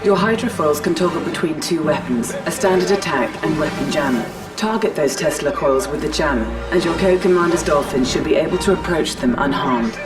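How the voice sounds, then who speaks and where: A woman's voice: low-pitched, a little fast, hardly any pauses, recorded from a distance, close to an everyday voice. A woman playing a composed battle announcer and mission adviser in a video game, clipped and businesslike. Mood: matter-of-fact. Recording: game audio with music behind.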